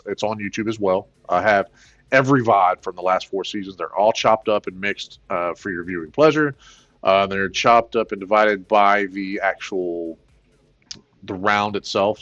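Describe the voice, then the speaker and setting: A man with a plain baritone voice, hosting a casual gaming livestream, rambling with many fillers and excited bursts. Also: lo-fi sound. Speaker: gaming channel host.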